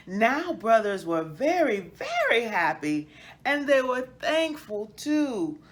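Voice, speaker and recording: A woman reading a children's book aloud as host, in an expressive, emphatic storytelling style. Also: on the high side.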